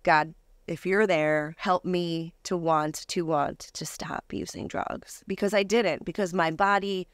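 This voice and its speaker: Female interview guest, lower register, close dry sound, conversational first-person testimony. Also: fairly even intonation, a little slow, smooth, dry recording.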